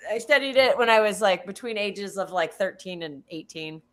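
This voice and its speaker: A woman's voice: medium pitch, animated, normal speed. An American woman co-hosting a live football watch-along, chatting casually with frequent restarts. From United States. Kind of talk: casual chat.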